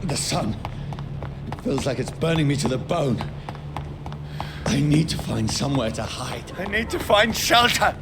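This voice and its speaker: An actor playing a frightened, bewildered gentleman vampire in a video game, anguished and pleading. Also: on the high side, animated, a little slow, long pauses, noisy background. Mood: shaken, distressed. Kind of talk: dramatic video game acting.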